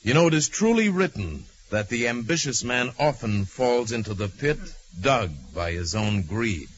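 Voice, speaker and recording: Male actor as shrewd mystic sleuth, medium pitch, measured, commanding, calm, old radio drama, dull hissy recording. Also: close to an everyday voice. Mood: calm explaining. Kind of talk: 1940s radio drama acting. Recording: muffled, faintly noisy vintage radio.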